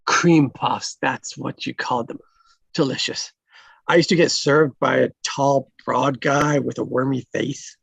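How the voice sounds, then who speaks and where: A man reading the part of a friendly, awkwardly flirty traffic cop in a live table read, halting and earnest with dry jokes. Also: mid-range voice, steady tone, gravelly, fairly bright tone, slightly rough, no room sound, exaggerated voice. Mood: curious, smitten.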